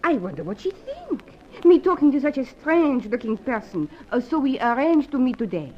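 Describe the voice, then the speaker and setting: A dull, lo-fi radio drama recording of a coquettish young dancer. Her voice is high and swings freely up and down as she teases in broken English, coy and devoted. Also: very animated, moderate pace. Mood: confiding. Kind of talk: old-time radio serial acting.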